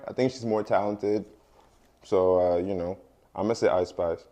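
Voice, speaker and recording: A man's voice: medium pitch, darkish tone. A young man whose first language is French, a music artist giving casual, laid-back interview answers with lots of fillers and frequent laughs.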